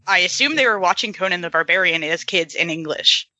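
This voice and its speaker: A woman's voice: fairly low pitch, lively intonation, brisk, sharp tone, highs cut off. A woman from the US chatting casually as a podcast guest, giggly, with lots of fillers. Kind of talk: casual chat.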